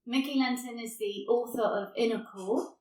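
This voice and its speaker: A woman's voice: on the high side, moderate pace, mellow. A woman hosting a podcast, delivering a scripted introduction and welcome. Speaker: podcast host. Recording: echoey, distant mic, faint music behind.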